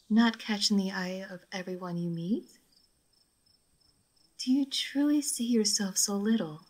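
A woman playing a gentle, melancholy old vampire lady in an intimate roleplay audio drama, speaking softly and formally. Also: on the low side, poor audio. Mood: tender, teasing. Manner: soft, formal, wistful, sometimes near whispering.

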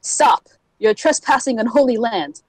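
Affronted guardswoman acted by a woman, higher register, stern fantasy cartoon delivery. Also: expressive, a little slow, darkish tone, husky, dry recording, poor audio. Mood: indignant. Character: indignant female fantasy guard. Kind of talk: character voice acting audition.

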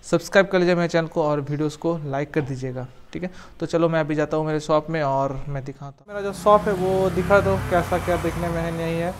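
A man's voice: fairly high pitch, steady tone, quick pace, few pauses. A young man in his twenties chatting loosely as he gives a selfie tour of his small tea shop, filmed on a phone held at arm's length. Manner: chatty, loose and rambling, with frequent restarts. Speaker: small cafe owner and vlogger. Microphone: phone camera held at arm's length. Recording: noisy phone recording with street sounds.

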